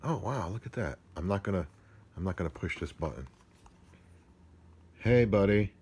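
A man's voice: low-pitched, animated, normal speed, darkish tone, breathy edge. A man chatting casually and off the cuff as he handles gadgets, muttering to himself in a playful, mildly crude way. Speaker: amateur product unboxing vlogger. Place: indoors at a table. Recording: noisy home phone-camera recording, device clicks.

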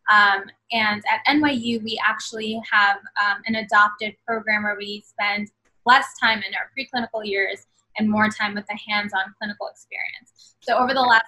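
A woman's voice: medium pitch, steady tone, normal speed, bright, forceful tone, rough recording. A woman in her twenties, born in Bangladesh and raised in New York City, talking earnestly as a guest over a video call, with many fillers. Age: 20s. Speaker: guest, medical student. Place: small room at home. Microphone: video call webcam.

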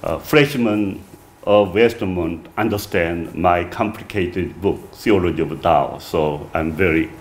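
A middle-aged man with a strong Korean accent giving an academic lecture at a podium, reading from a manuscript with many halting "uh" fillers. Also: low voice, slight background noise. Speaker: visiting lecturer. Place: wood-paneled reception room, indoors.

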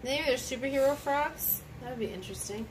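A woman with a fairly high voice reads a picture book aloud in a casual, chatty way to a child. She exclaims and prompts with questions. The mic is some distance from her, with noise clearly audible. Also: a little fast.

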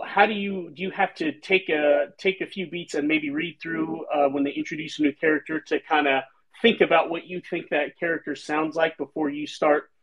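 A man's voice: a little high, low-quality recording. A man hosting a casual chat on a video call, rambling thoughtfully with lots of fillers. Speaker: host and author. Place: home room, indoors.

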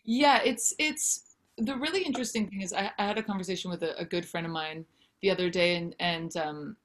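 Woman, 30s, fairly low voice, candid and animated, on a video call from home. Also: a little fast. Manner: candid, animated, gesturing with hands. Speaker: guest, singer-songwriter and author. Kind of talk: casual interview chat. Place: home room with brick wall.

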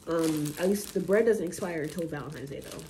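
A woman's voice: low voice, brisk, noisy background. A young woman vlogging a grocery haul at home in her kitchen, talking casually with slang and rambling asides. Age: young adult.

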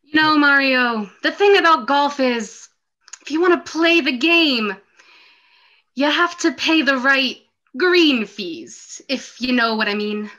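A woman's voice: high voice, expressive, takes it slowly, pushed and bright. A young woman on a video call, dramatically reading the part of a smug, dismissive official. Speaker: amateur actor in a script reading. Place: small room at home, video call.

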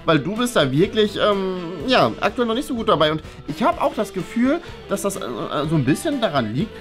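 A man in his thirties playing a talkative, diplomatic schoolteacher in an improvised comedy sketch, hedging as he gently airs complaints. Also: high voice, sing-song, brisk. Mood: tactful, amused. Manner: hesitant, hedging, wordy. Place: small bright classroom-like office, indoors. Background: background music.

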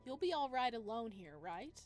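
A woman voicing a reserved, considerate young man in a visual novel, in a measured, matter-of-fact way. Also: fairly high pitch, big pitch swings, faint music behind. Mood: calm concern.

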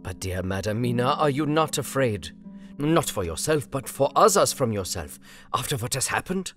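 A man voicing an elderly, fatherly doctor who speaks slowly and solemnly in a dramatized audiobook. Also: mid-range voice, very animated, fairly bright tone, faint music behind. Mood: gentle. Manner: slow, solemn and impassioned.

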